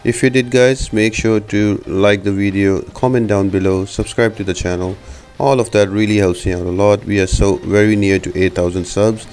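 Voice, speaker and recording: A man with a low-pitched, dark and relaxed voice hosts a gaming guide. He explains tactics step by step and speaks straight to his viewers. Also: moderate pace. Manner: explanatory, addressing viewers directly. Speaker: gaming video host giving a guide. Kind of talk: scripted-sounding game guide narration.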